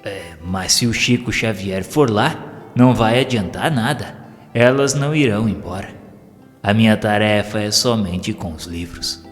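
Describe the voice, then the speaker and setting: Kindly, calm Brazilian medium, read by a male narrator, measured and instructive, low voice, long reverb. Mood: gently instructive. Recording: long reverb with music behind.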